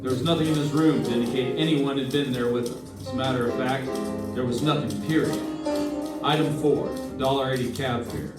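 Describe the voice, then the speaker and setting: A man's voice: mid-range voice, steady tone, brisk, lightly acted. Man acting as probing radio private eye, wry, dogged, roomy sound, distant mic. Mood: dry narration. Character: Johnny Dollar, dogged male insurance investigator, wry and probing. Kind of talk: old-time radio detective acting. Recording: echoey stage, distant mic, faint music.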